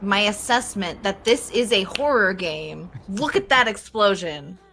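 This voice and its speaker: Bright-voiced woman, slow playful stream banter. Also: medium pitch, lively intonation, a little hiss or hum. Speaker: co-commentator. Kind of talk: casual live stream banter.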